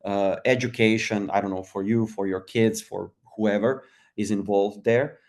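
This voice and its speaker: Male webinar speaker, low and monotone, hesitant with many restarts. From Croatia. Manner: halting, many fillers and restarts. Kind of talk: casual webinar talk.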